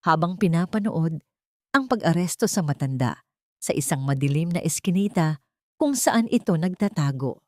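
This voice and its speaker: A woman's voice: lowish voice, dry recording, studio quality. A woman with a Filipino accent narrating a dramatic audiobook story from a script.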